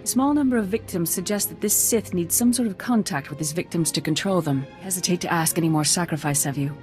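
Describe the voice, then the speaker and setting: Female council leader, acted for a sci-fi game: lowish voice, formal, considerate, music underneath. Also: quick pace, a little mellow, lightly acted. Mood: solemn. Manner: formal, authoritative. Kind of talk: video game voice acting.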